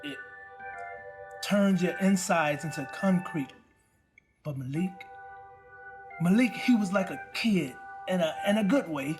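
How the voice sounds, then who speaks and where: An actor playing a tender, plainspoken young man giving a halting eulogy for a friend in an audio drama. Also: high voice, lively intonation. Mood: earnest, reflective. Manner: halting, searching for words. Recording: music under the voice. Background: music.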